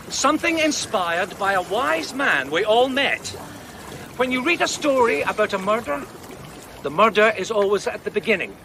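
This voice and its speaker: An actor playing an intense amateur sleuth in a radio play, theatrical and deliberate, building suspense. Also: male voice, sits high, sharp tone, exaggerated voice. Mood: wry, measured. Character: intense amateur sleuth laying out a murder case. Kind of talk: radio drama acting. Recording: noisy outdoor boat ambience.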